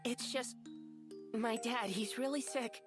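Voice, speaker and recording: Scrappy, high-voiced young boy. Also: steady tone, slow pace. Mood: sorrowful pleading. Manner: brash, slangy, emotional pleading. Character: cocky, scrappy young boy inventor and trickster. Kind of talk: video game voice acting. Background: game music.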